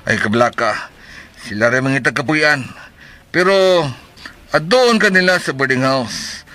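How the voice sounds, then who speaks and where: A man's voice: mid-range voice, lively intonation, slow, pauses often, sharp tone, no room sound. A man with a Cebuano Filipino accent playing a greedy, mocking crime accomplice in a radio drama. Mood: sly, menacing. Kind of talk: Philippine radio drama acting. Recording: radio drama with music underneath.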